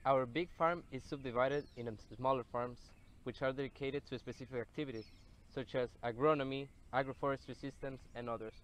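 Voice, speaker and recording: A young man, reading a scripted video narration in a steady, explanatory way. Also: mid-range voice, brisk. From El Salvador. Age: young adult. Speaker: student presenter.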